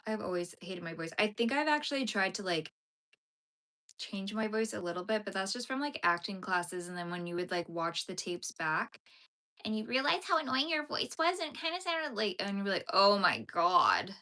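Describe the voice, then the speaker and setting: A woman's voice: medium pitch, big pitch swings, normal speed, pushed and bright. A young woman chatting casually on a live stream as she crochets and reads her chat aloud, laughing often and using lots of fillers. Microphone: phone streaming vertically.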